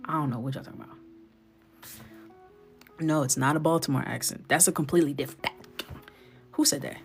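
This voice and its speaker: A woman's voice: low voice, a little mellow. A young woman from New York chatting playfully while she colors on a livestream, slipping into whispers. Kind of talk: casual chat while coloring.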